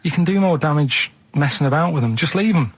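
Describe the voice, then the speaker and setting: Male sidekick, 30s, Mancunian, fairly high voice, plain-spoken and reluctant, casual conversational delivery. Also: animated, fast talker, dry recording. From Manchester, England. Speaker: radio show sidekick. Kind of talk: casual chat.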